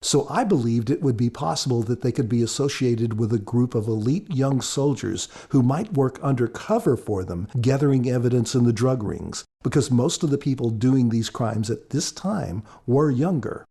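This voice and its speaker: Husky, mellow-voiced man giving commentary. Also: mid-range voice, moderate pace, few pauses. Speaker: author, podcast guest. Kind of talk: scripted commentary.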